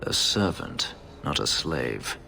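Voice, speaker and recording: An actor playing a brooding, bitter elf and escaped slave in a video game, terse and intense. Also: male voice, rumbling low, very animated, slow, vocal fry, pushed and bright, full character voice. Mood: cold, bitter. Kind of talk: dramatic video game acting. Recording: reverberant game audio with music.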